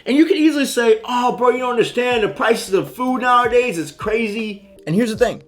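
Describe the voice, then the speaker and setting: A man's voice: extremely high pitch, brisk, fairly bright tone, soft background music. A young man from Haiti, a fitness coach, giving a blunt motivational talk with casual slang.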